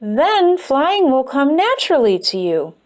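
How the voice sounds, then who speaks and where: Woman voicing a gentle wise dragonfly. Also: high-pitched, big pitch swings, measured pace. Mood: reassuring. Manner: gentle, encouraging. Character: kind wise male dragonfly, reassuring.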